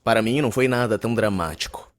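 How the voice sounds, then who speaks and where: A man's voice: on the low side, fast, lightly acted. A man with a Brazilian accent playing a verbose, self-important, reclusive young university student narrating an anime monologue. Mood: matter-of-fact, wry. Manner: pompous, wordy, formal.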